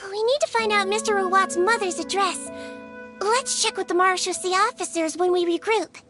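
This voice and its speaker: A very high, childlike girl nurse for a game. She is sweet yet clinical, speaking politely and precisely. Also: a little fast, music in the background. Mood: polite, composed. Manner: polite, precise, matter-of-fact. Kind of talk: video game character dialogue.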